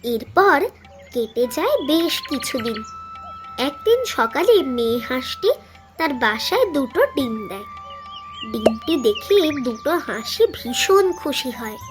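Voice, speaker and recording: A woman's voice: sits high, sing-song, measured pace, music in the background. A woman reading scripted narration for a children's cartoon story.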